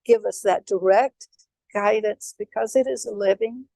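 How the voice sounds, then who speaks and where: A woman giving an earnest personal spiritual testimony, speaking unhurriedly with pauses and fillers. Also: mid-range voice, creaky, mellow, no echo. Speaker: devotee speaker at a memorial gathering.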